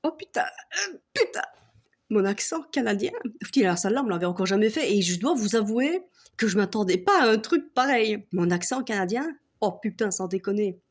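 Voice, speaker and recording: A woman's voice: medium pitch, expressive, moderate pace. Belgian woman ranting with a laugh. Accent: Belgian French. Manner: swears a lot, laughs often, mocking. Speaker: vlogger. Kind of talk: casual comic rant to camera.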